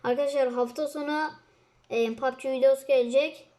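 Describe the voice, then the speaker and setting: A young girl chatting excitedly and casually as she hosts and commentates on a video game. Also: high voice, fairly quick. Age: child. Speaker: host of a gaming channel.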